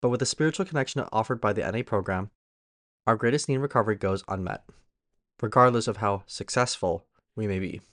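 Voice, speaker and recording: A man's voice: on the low side, brisk, fairly bright tone, dry recording, studio quality. A man reading a written daily recovery meditation aloud as a guest reader.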